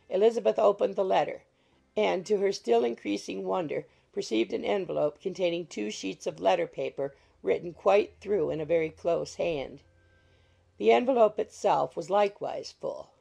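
A woman reading scripted literary narration from a classic novel as an audiobook narrator, in a husky, creaky voice. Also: medium pitch, dark tone. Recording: music behind the voice. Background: music.

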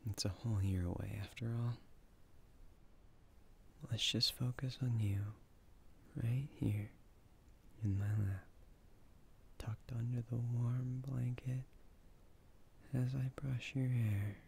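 Casting: man playing a loving, shy, nerdy young husband for ASMR roleplay; hushed, creaky, very unhurried delivery. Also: mid-range voice, steady tone, a little hiss or hum. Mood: soothing. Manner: soft, breathy, often half-whispered, unhurried. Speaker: voice actor in an audio roleplay. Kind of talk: intimate ASMR boyfriend roleplay.

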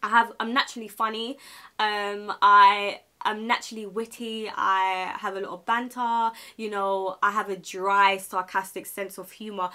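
Bright-voiced young woman, candid and emphatic. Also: mid-range voice. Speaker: vlogger giving self-esteem advice. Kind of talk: casual motivational chat to camera.

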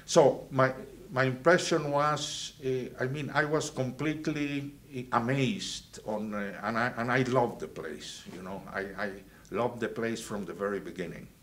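A man, from Venezuela and raised in Guatemala, recalling his student years in a halting way with many fillers and restarts. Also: medium pitch, a bit bright. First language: Spanish. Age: 70s. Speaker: alumnus interviewee. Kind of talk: reminiscing interview. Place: indoors, plain backdrop.

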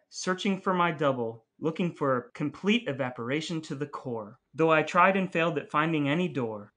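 Playful male podcast host, slightly higher voice. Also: pushed and bright. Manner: playful, jokey. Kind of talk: casual podcast chat.

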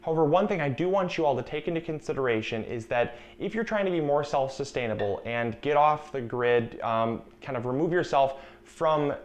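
A young man in his twenties, chatty and instructive, talking through a canning demonstration in a home kitchen on a lapel mic. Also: medium pitch. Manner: chatty, instructive, repeats key warnings. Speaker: gardening channel host. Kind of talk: casual cooking demonstration.

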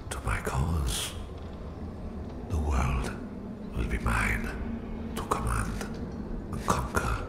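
A man playing a menacing, domineering Soviet psychic supervillain with a Russian accent in a slow, condescending ASMR roleplay, his voice whispery and gravelly. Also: a bass voice, reverberant, exaggerated voice. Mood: calm menace, then sinister.

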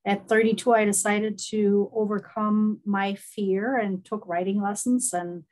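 A middle-aged woman talks over a headset on a video call. Her pitch sits in the middle of the range. She tells her story warmly and loosely, with plenty of fillers along the way. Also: steady tone, a little slow, low-quality recording. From East Germany. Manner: warm storytelling, frequent laughs and fillers. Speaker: guest, horse body-work therapist.

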